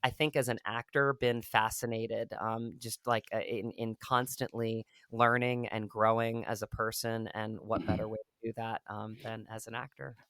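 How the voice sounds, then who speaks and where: A man's voice: on the low side, level tone, moderate pace, few pauses, a bit bright, clear, resonant tone, dry recording. A man from rural Virginia, an actor guest talking in a reflective, rambling way with many fillers. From rural Virginia, USA. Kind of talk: casual interview talk.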